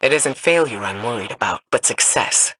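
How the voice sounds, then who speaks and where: A woman's voice: a bass voice, lively intonation, normal speed, background noise. A woman playing a cool, formal superior in a sci-fi video game. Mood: measured, cool. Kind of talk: scripted video game voice acting.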